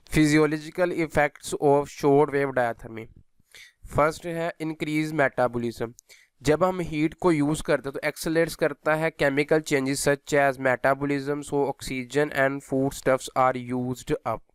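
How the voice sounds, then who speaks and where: A man's voice: mid-range voice, smooth, no echo, studio quality. A man giving an instructional physiotherapy lecture, explaining in a teacherly way and mixing in English technical terms. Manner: switches between Hindi and English technical terms.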